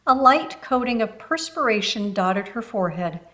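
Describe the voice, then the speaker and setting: A woman reading a children's book aloud in expressive storybook narration. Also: fairly high pitch, moderate pace.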